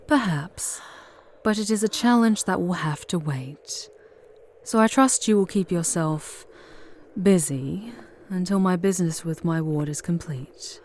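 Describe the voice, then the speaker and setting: Woman voicing a seductive demoness, low breathy murmur, wry, unhurried, ASMR roleplay, noisy ambience behind. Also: a little mellow, natural voice. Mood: wry, commanding. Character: charming, sardonic, seductive female demon guide to hell. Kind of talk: ASMR audio roleplay acting.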